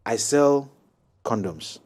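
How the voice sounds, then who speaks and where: A man talking as a guest brand consultant on a panel talk show, pausing with many ums, then growing emphatic. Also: medium pitch. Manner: hesitant, many ums, grows animated and emphatic.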